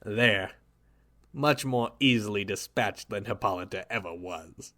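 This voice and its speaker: A man voicing a cold, gloating male villain scientist who talks in a soft, smug, menacing drawl in an audio drama. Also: fairly low pitch, measured pace. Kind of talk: amateur audio drama acting.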